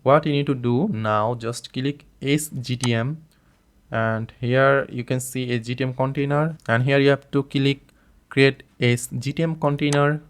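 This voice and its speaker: Bangladeshi man walking through a software setup. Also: medium pitch, steady tone, a little slow. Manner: plain step-by-step explaining with frequent fillers and restarts, non-native phrasing. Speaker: tutorial host. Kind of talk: screen-recorded software tutorial.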